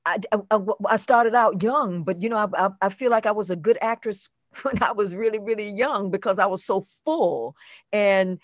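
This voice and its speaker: Older actress, halting and emphatic, dry sound. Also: female voice, mid-range voice, dry recording. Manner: halting, repeats words for emphasis. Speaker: actress guest. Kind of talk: conversational interview reflection. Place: indoors on a video call.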